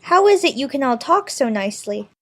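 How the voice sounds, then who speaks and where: A woman plays a curious little girl in a storybook. The voice is pitched high. It is earnest and polite, with a questioning way of talking. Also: very animated, fairly quick, full character voice. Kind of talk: storybook character voice.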